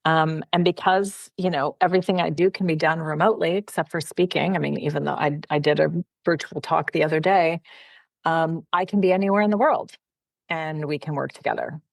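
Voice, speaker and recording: Low, gravelly-voiced woman chatting casually. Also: steady tone, brisk, dry recording. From Canada. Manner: friendly, chatty, frequent fillers and light laughs. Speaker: guest, personal brand consultant. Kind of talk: casual interview conversation.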